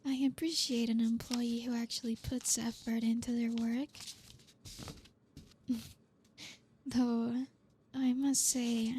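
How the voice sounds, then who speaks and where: A woman playing a dominant, flirty boss in an ASMR roleplay, speaking softly and breathily with teasing, deliberate command, close to the microphone. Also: a little high, slight background noise. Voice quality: breathy, soft. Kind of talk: ASMR roleplay, close-mic soft speech. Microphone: close microphone, dry.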